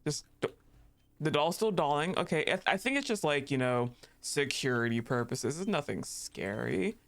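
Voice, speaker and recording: A woman's voice: deep voice, lively intonation, normal speed. A woman streaming a game live, giggling often, swearing freely and gushing thanks to her chat.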